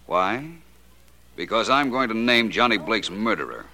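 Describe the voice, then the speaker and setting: Shrewd detective, low voice, lively inflection, polished wry manner, 1940s radio acting. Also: male voice, unhurried, exaggerated voice. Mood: smooth menace. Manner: polished, wry, formal phrasing. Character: suave, shrewd, unflappable male private detective.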